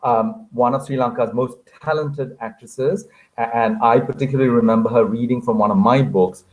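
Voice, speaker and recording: A man hosting a conversational online event in a chatty, halting way, repeating phrases as he goes. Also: medium pitch, rough recording. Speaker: moderator, host. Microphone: online video call.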